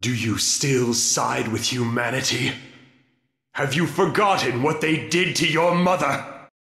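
A man's voice: mid-range voice, unhurried, sharp tone. A man voicing an imperious ancient vampire lord in a video game, with grandiose, archaic, theatrical delivery. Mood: mocking, haughty. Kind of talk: melodramatic video game villain acting. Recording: echoing game audio.

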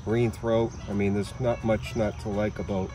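A man's voice: lowish voice, level tone, normal speed, dark tone, soft background music. A middle-aged man with a Massachusetts accent, talking enthusiastically about flowers in a sunny garden. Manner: enthusiastic, easygoing, chuckles often. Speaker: garden visitor. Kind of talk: casual interview about flowers.

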